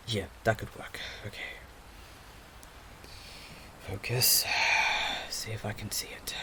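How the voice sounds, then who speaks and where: A man playing a restless, overthinking investigator with magical sight in an audio drama, muttering haltingly to himself. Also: on the low side, fairly bright tone, slight background noise, lightly acted. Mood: concentrating.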